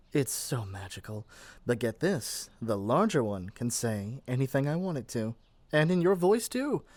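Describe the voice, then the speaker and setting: A man's voice: medium pitch, no room sound. A man playing a lovestruck, possessive young male witch in an ASMR roleplay, chatty and gushing with flustered hesitations. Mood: excited, gushing. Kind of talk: ASMR roleplay addressed to the listener.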